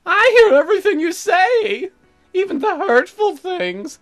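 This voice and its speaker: A very high, wildly swooping horse voice, performed by a woman, brazen and needy. The words are drawn out and whooped in a lewd, comic visual novel style. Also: very animated, a little slow, faint music behind, exaggerated voice. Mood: hurt, indignant. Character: lewd, needy talking horse who loves being ridden.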